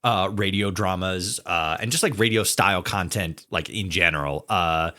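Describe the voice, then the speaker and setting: A man with a somewhat low voice hosts a casual interview. His delivery is halting and full of searching pauses as he builds his questions and comments. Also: pushed and bright. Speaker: podcast host, interviewer.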